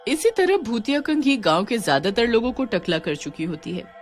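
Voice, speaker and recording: A woman's voice: on the high side, big pitch swings, moderate pace, soft background music. A woman reading scripted narration for a cartoon story.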